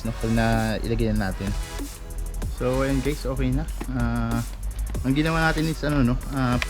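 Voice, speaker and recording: A man from the Philippines, a motorcycle rider, chatting casually as he reviews a helmet headset at home and talking straight to his viewers with lots of filler tags. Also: mid-range voice. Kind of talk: casual product review vlog. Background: music playing from the headset.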